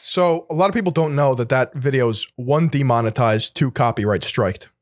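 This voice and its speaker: A man's voice: mid-range voice, moderate pace, no room sound. A laid-back man with a mid-range voice co-hosts a podcast, explaining things at length and often restarting his words. The recording is dry and close-miked. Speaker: podcast co-host. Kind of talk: casual podcast conversation.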